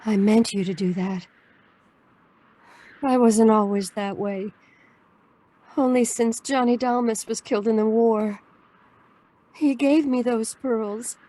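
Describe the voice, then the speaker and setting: A woman's voice: a little high, fairly even intonation, slow pace, pauses often, warm tone. An actress playing a mysterious, wistful married woman in a noir radio drama, speaking in an earnest, restrained way. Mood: wistful, confessional. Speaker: actor in an audio drama.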